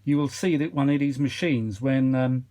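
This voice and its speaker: A man with a mid-pitched voice talks casually as the presenter of his own hobby video. He is chatty and self-deprecating, rambling along and often hunting for the right word. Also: moderate pace, warm tone. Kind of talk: rambling casual demonstration vlog. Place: small cluttered kitchen used as a workshop.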